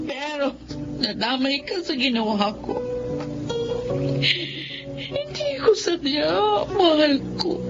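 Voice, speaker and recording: Guilty filipina schemer in a radio soap, weepy pleading, voice swooping all over, music under it. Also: female voice, high-pitched, lo-fi sound, full character voice. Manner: tearful, pleading, melodramatic. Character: remorseful, lovesick young woman schemer, drowning her guilt. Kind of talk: Filipino radio drama acting.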